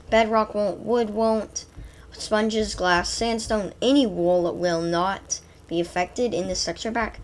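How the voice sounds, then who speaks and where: A woman with a stuffy nose from a cold, chatting excitedly through a gameplay video, rambling through lists with fillers. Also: mid-range voice, a little hiss or hum. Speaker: gaming channel host. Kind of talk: casual gameplay commentary.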